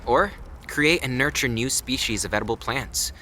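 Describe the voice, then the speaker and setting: A man's voice: medium pitch, moderate pace, pushed and bright, a little hiss or hum, lightly acted. An actor playing the cheeky, sarcastic male sidekick of a grumpy boss in an audio drama, teasing playfully.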